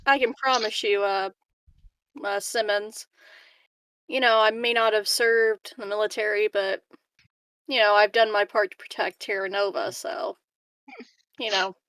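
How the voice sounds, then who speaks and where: A woman playing a tabletop roleplaying game, improvising dialogue casually in a halting, playful, teasing way. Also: medium pitch, fairly even intonation, fairly bright tone, clear, resonant tone, dry recording.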